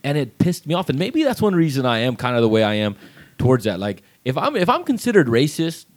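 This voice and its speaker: A man's voice: medium pitch, wildly swooping pitch, quick pace. A middle-aged man from Texas, co-hosting a podcast. He speaks earnestly in casual chat and often repeats words as he starts a sentence. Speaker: podcast co-host.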